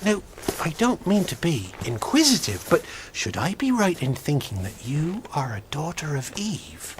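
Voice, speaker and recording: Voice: medium pitch, sing-song, unhurried. An actor playing a timid, courteous faun in a children's fantasy drama, hesitant, apologetic and inquisitive. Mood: flustered, curious. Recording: clearly noisy drama clip with clicks.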